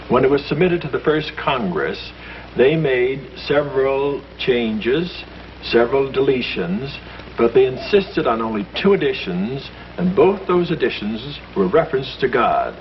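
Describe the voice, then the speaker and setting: A man explaining history to friends in earnest, emphatic scripted dialogue from a 1950s film. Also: medium pitch. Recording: muffled old film soundtrack with faint hiss.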